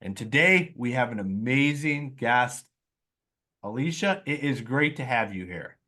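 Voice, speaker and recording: A man's voice: medium pitch, lively intonation, a little slow, bright, forceful tone. A man hosting a podcast, upbeat and enthusiastic, introducing guests and reading his own promotional spots. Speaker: podcast host. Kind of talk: podcast hosting and self-read ad.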